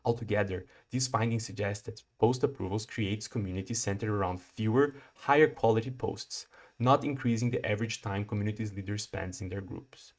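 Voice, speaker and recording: A young man from Brazil presents his research in a prepared conference talk. His voice sits on the low side, and he explains things in a measured, step-by-step way, now and then restarting a word. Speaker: PhD student presenting research.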